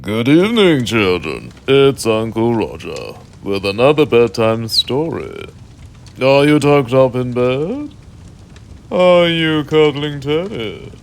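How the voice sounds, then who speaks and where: A man's voice: medium pitch, very animated, slow, gravelly, slight background noise. A British man narrating a mock bedtime story in an avuncular, mock-cosy way.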